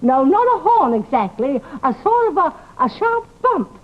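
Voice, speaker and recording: An actress playing a nagging, excitable housekeeper in old-time radio drama, with a scolding, chattery manner. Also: female voice, high-pitched, moderate pace. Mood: flustered. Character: nagging, excitable female housekeeper, outspoken and exasperated. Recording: muffled, noisy old radio recording.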